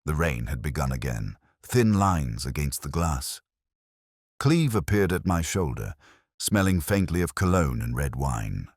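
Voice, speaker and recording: A man narrating a scripted detective story as a brooding police inspector, in a measured, solemn, literary way. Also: a bass voice, big pitch swings, breathy edge, dry recording, studio quality.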